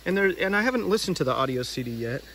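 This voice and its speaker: A man's voice: a little high, big pitch swings, racing, fairly bright tone, slight background noise. A man hosting a read-aloud outdoors, narrating a storybook with casual asides. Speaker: storybook reader and video host. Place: outdoors by a river.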